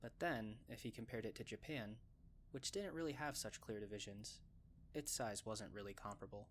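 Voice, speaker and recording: A man's voice: lowish voice, moderate pace, a little hiss or hum. A man narrating a scripted story in an audiobook style.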